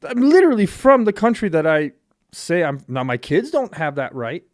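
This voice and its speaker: A man who was born in Armenia, hosting a podcast and chatting casually in a blunt, profane, animated way. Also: fairly high pitch, moderate pace, dry recording, studio quality. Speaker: podcast host. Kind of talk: casual chat.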